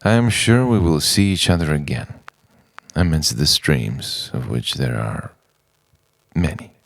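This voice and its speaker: A man narrating an introspective spoken-word monologue in halting, musing phrases full of rhetorical questions. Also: very deep voice, animated, gravelly, no echo, rough recording. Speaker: narrator of a personal art film.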